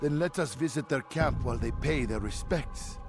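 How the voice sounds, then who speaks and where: A man's voice: mid-range voice, moderate pace, over loud music, natural voice. An actor playing a male orator giving a rousing speech about peace. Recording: game score under dialogue.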